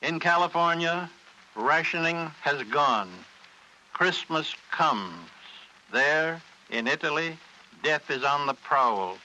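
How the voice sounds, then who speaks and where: Very sharp-voiced man making solemn radio appeal. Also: on the high side, lively intonation, rough recording. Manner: emphatic, clipped phrases, deliberate pauses. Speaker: charity appeal speaker. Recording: hissy 1940s radio recording.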